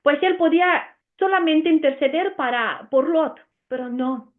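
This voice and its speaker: A woman's voice: high-pitched, measured pace, narrow, tinny audio. A woman from Romania with an Italian accent, whose first language is Romanian, teaching the Bible earnestly with frequent "eh" fillers.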